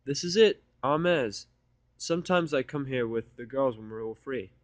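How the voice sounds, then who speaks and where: A man's voice: mid-range voice, expressive, moderate pace, no echo, natural voice. A man voicing a bookish young female pony, persistent and polite, in an earnest, coaxing manner. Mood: explaining.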